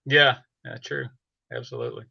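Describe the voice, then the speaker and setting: Bright-voiced man, halting and conversational. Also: mid-range voice, huge pitch swings, vocal fry, husky, no room sound. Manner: halting, restarts phrases often. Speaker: audio storytelling podcaster, guest. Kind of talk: casual conversation. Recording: slightly dull, band-limited dry audio.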